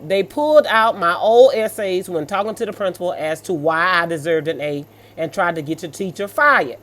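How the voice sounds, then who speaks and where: A mid-pitched American voice reads stories aloud and comments on them off the cuff. The delivery is sassy and blunt, with colloquial asides in a scolding manner. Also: normal speed, fairly bright tone. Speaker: host reading and reacting to online stories.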